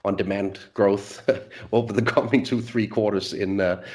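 Danish guy, kinda low voice, lots of uh and um, chuckles a bit. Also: fairly even intonation, normal speed. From Denmark. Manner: hesitant, many filler sounds, chuckling asides. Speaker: market strategist guest. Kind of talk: conversational market discussion.

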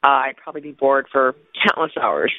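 A man's voice: mid-range voice, lively intonation, fairly quick, dry recording. A man with an American accent leaving a casual comment as a caller. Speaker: listener calling in. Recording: thin, tinny phone line.

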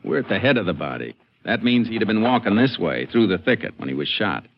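An actor playing a calm, shrewd Texas Ranger in a 1950s western radio drama, terse and matter-of-fact. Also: male voice, fairly low pitch, fast, vocal fry. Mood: calm, analytical. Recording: muffled, hissy old radio recording.

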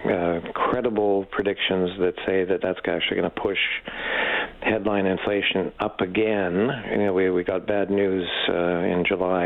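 Guy, econ professor on radio, deep creaky voice, lots of stammering and pauses. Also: narrow, tinny audio. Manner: hesitant, halting, frequent stammered repeats. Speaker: economics professor, expert guest. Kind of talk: unscripted radio interview answers.

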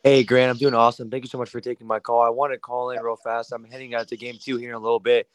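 A man calling in to a sports radio show, eager and gushing, with a slightly raspy voice. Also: mid-range voice, level tone, fast talker. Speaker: caller. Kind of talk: phone-in chat.

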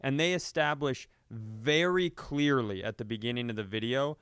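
A man telling comedic stories in casual, animated, profane banter, often acting out quotes as a comedy podcast host. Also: medium pitch, normal speed, clean tone, no room sound, clean studio sound.

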